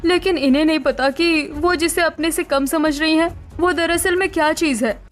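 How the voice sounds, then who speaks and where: A woman voicing a sharp-tongued, ambitious young daughter-in-law in a cartoon story, pointed and outspoken. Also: high-pitched, steady tone, normal speed, faint music behind, lightly acted. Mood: taunting.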